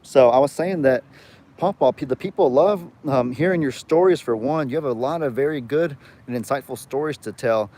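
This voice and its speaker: A man's voice: medium pitch, normal speed, dark tone. Young man with a light Southern drawl. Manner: rambling, chatty, reads viewer comments aloud. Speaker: livestream host. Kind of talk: casual live chat.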